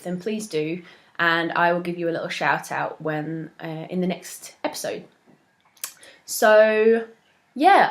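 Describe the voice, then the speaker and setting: A young woman talking on her own about her knitting and her group, her voice sitting a touch low. She sounds a little stuffed up from a cold and chats along loosely, full of ums and small laughs. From moved to Australia from elsewhere. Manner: chatty, warm-hearted, many ums and soft laughs. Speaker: knitting podcast host. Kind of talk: casual solo chat to camera.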